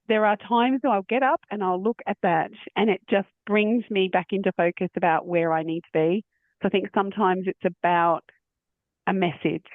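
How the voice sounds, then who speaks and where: A woman's voice: medium pitch, no echo. Woman host on a tinny line. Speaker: podcast host. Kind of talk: podcast interview.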